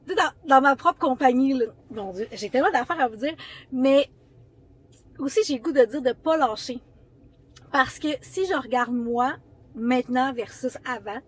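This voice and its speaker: Chatty Quebec French woman, fairly high voice. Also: poor audio. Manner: chatty and rambling, frequent fillers and self-corrections. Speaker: founder of a beauty brand. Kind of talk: casual storytime vlog to camera.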